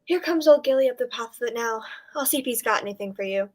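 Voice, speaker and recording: Woman acting village innkeeper, gossipy, dramatic, chatty, fairly high voice, radio play. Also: expressive, fast talker, darkish tone. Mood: gossipy relish. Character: Miss Mickle, gossipy female village innkeeper relishing grisly news.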